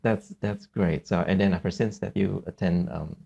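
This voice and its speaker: A man from Indonesia with an Indonesian accent, hosting a casual interview and haltingly searching for words, often repeating himself. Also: low-pitched, steady tone, gravelly, dark tone, breathy edge, muffled recording. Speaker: podcast host, interviewer.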